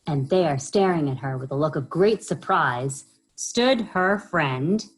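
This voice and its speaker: A woman reading a children's story aloud as a library storyteller, expressive and often pausing to put questions to young listeners. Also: low-pitched, husky, low-quality recording.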